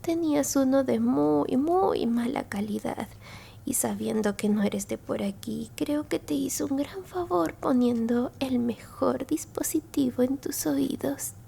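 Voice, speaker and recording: Woman acting as old alien grandma, caring, whispered ASMR, creaky, mellow, unhurried. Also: high voice, a little hiss or hum, exaggerated voice. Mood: gentle concern. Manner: slow, hushed, soothing. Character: elderly alien grandmother, kindly, caring, slyly businesslike.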